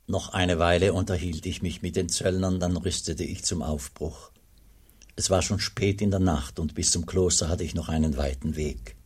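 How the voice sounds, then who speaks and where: An actor playing a humble, pious wandering monk in a German radio drama, husky and speaking in a measured, grave, formal way. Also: male voice, low-pitched, steady tone, a little mellow. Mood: solemn, reflective. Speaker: actor, also narrating as the monk.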